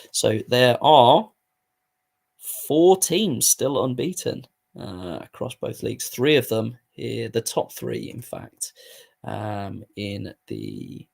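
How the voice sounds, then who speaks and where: A hoarse man giving casual, sweary commentary while playing a football management game. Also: mid-range voice. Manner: swears freely, muttering asides while playing. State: hoarse, voice going. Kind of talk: casual live gaming commentary.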